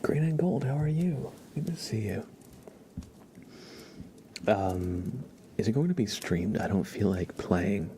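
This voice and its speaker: A man hosting an ASMR livestream, talking to viewers in a soft, hushed, gentle and halting way. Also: fairly low pitch, animated, slight background noise. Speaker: live streamer, ASMR host.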